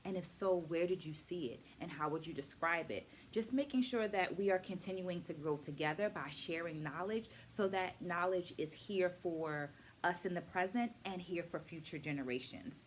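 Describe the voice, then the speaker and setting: A woman dance educator talking to viewers in a measured, instructive way as she shares takeaways in a book vlog. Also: on the low side. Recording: noticeable background noise.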